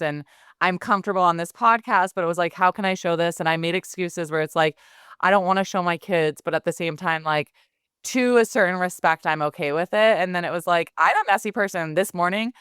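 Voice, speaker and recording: A woman in her thirties with a fairly low voice that sounds very smooth and clean. She chats casually and keeps slipping into quoting her own thoughts. The sound is dry and close. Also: moderate pace, fairly bright tone, no echo. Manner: chatty, acts out her own thoughts in quotes. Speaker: skincare business owner. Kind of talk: casual podcast conversation.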